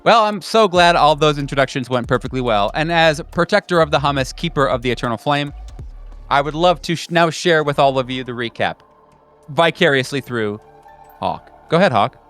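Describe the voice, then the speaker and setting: A man's voice: on the high side, animated, fairly quick, fairly bright tone, faint music behind. A man hosting a tabletop game podcast, bantering casually with a jokey, mock-ceremonial grandeur. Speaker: host and game master of an actual-play podcast.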